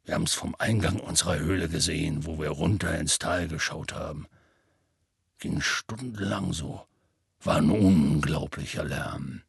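A man voicing a big, rough, weary half-giant who tells his tale in a plainspoken, rambling, colloquial way, husky and gravelly, for an audiobook. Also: very deep voice, a little slow, no room sound, clean studio sound, full character voice. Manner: colloquial, rambling, matter-of-fact storytelling. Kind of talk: audiobook character dialogue.

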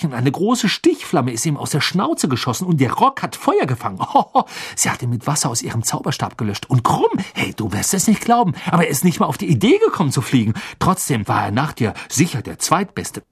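A man voicing an excitable, fiercely loyal teenage wizard schoolboy in an audiobook, gushing breathlessly and indignantly. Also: medium pitch, husky, full character voice.

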